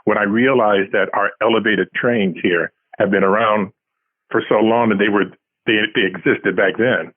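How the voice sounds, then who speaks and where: Husky older man on a phone line. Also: fairly low pitch, steady tone, moderate pace, dry recording. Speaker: guest, science fiction writer. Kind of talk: casual interview answers. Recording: tinny, band-limited phone line.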